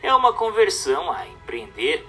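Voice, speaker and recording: A man reading a scripted text aloud as a narrator, in a formal, didactic and expressive manner. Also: a little high, moderate pace, far from the mic, faint music behind.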